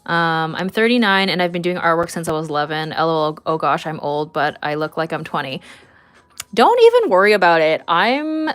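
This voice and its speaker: Casual, giggly woman with a lowish voice. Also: lively intonation, fairly quick, few pauses, a bit bright, smooth. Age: 30s. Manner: giggly, informal, chatty. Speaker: livestreaming artist reading and answering viewer chat. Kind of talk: casual livestream chat while drawing.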